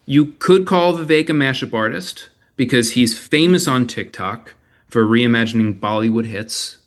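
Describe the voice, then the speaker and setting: A man moderating an online panel, introducing guests and asking long, enthusiastic multi-part questions. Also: mid-range voice.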